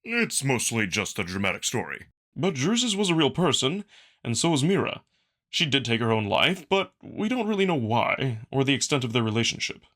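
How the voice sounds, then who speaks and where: A man's voice: mid-range voice, very animated, normal speed, a bit bright. A man voicing a large male beast noble in a visual novel, earnest and theatrical, with a grand, declaiming manner. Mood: patient, earnest.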